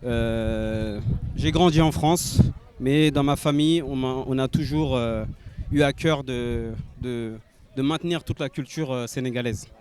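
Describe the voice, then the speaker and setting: A man's voice: mid-range voice, measured pace, a little mellow. A man born in Senegal and raised in France, speaking hesitantly with many fillers in an outdoor testimonial. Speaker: nurse and investor. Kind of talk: promotional testimonial.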